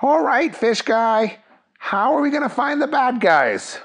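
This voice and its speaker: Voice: medium pitch, big pitch swings, unhurried, voice pushed up. A storyteller voicing an eager, flustered policeman who rambles, hesitates and exclaims. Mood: insistent. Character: flustered, earnest, eager policeman named Jerry.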